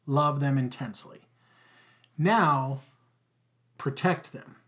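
A middle-aged man with a loud voice, talking emphatically in a casual podcast conversation and repeating his key words. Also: mid-range voice, slow. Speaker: podcast guest, father.

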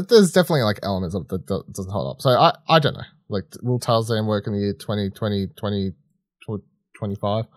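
A man co-hosting a film podcast in casual chat, halting and rambling as he thinks aloud. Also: lowish voice, no echo, clean studio sound. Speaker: podcast co-host.

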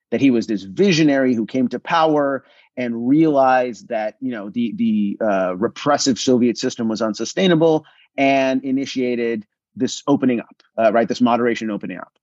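A man's voice: mid-range voice, moderate pace, a little mellow, no room sound. A male guest expert, born in Israel and raised in New England, with Russian as his first language. He talks conversationally with a self-deprecating streak and often restarts his sentences. His voice comes through a dull, dry, muffled remote connection. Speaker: think tank policy expert, guest. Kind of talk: conversational interview answers.

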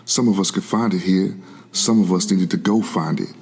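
An actor playing a devout, earnest preacher who escaped slavery, narrating his life in a gospel oratorio as a solemn, measured monologue. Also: low-pitched, vocal fry, a little mellow, slightly rough, full character voice. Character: formerly enslaved 18th-century preacher recounting his life, earnest, devout. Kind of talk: scripted first-person dramatic monologue.

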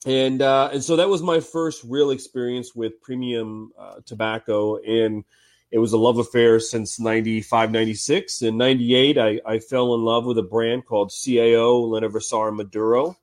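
A man from Ohio with a cold and a slightly raspy voice, a guest telling stories in a relaxed, chatty way with many fillers. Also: mid-range voice, moderate pace. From Cincinnati, Ohio, US. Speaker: cigar industry guest. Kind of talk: casual podcast interview.

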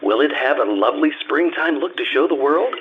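A man's voice: animated, fairly quick, breathy edge. A man reading a scripted commercial as an old-time radio announcer, with an emphatic selling delivery. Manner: emphatic sales pitch. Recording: very tinny band-limited audio with noise.

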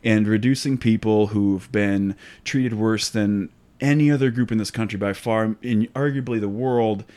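A man's voice: lowish voice, normal speed, few pauses, a little mellow. An American man in a casual, opinionated discussion, speaking emphatically and often checking for agreement. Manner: emphatic, conversational, often asks for agreement with "right?".

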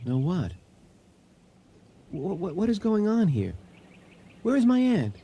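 A man's voice: sits high, huge pitch swings, slow pace, mellow, breathy edge, exaggerated voice. An actor playing a worried married man looking for his aunt in a radio drama, speaking earnestly. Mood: alarmed.

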